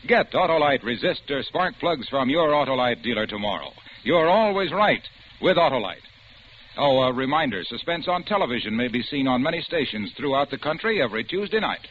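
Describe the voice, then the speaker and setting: A man's voice: medium pitch, sing-song, a little fast, slight background noise. A man reading an old radio commercial in an upbeat, salesy announcer style. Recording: dull lo-fi old radio broadcast.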